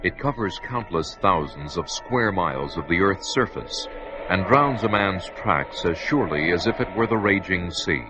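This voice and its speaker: A man's voice: low voice, animated, normal speed. A man narrating an old radio drama in a grave, dramatic manner. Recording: old radio recording with music.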